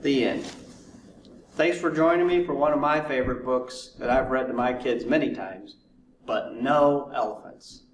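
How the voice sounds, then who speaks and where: A man narrating a children's storybook in a deliberate way, drawing words out for effect. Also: medium pitch. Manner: deliberate, drawn-out repetition for effect. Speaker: fire chief reading to children. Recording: roomy echo, distant mic, faint noise.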